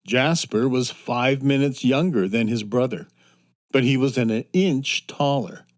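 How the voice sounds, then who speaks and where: A man narrating a children's story from a script in a measured, expressive storytelling manner. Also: mid-range voice. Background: soft music.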